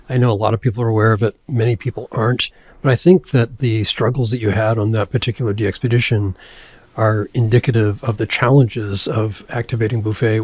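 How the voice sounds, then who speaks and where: A man's voice: lowish voice, darkish tone, breathy edge, dry recording. A man hosting an interview, putting questions to his guest in a conversational way. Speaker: interviewer, host.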